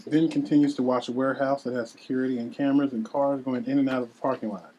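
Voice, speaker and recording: Narrator: man, rough-edged voice, reads screenplay directions. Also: mid-range voice, fairly even intonation, fast talker, dark tone, highs cut off. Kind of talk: reading screenplay directions aloud.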